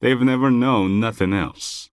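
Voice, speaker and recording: A man's voice: medium pitch, a little slow, smooth, no room sound, studio quality, close to an everyday voice. A man playing a disillusioned mining-town local in a video game. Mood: resigned, envious. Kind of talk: video game NPC voice acting.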